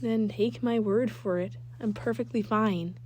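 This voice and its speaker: Woman reading as a storybook teen boy, defensive, terse, dismissive, soft dark tone, slight background noise. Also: medium pitch, steady tone, unhurried, close to an everyday voice, low-quality recording. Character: teenage boy, defensive and irritated with his parents.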